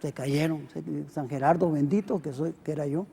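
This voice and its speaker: A middle-aged Costa Rican pastor preaching a sermon, swinging between loud emphatic peaks and quiet low asides. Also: male voice, normal speed, very noisy. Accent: Costa Rican Spanish. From Costa Rica. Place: church hall. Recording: long hall reverb.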